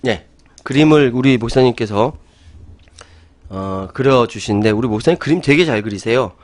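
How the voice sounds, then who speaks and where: A middle-aged man hosts a talk show, arguing his opinions in an animated way and laughing often. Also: mid-range voice, normal speed. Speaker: host.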